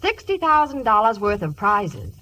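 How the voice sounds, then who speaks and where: A woman's voice: mid-range voice, big pitch swings, moderate pace, bright, forceful tone. A woman with an American accent reading a scripted commercial as an announcer on a vintage 1950s radio broadcast.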